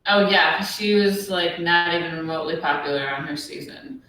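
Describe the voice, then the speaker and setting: A woman with a deep voice co-hosting a podcast, musing in a casual, hesitant way with many ums. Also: moderate pace, sharp tone, very echoey, recorded from a distance, poor audio. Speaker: podcast co-host. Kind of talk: casual recap chat.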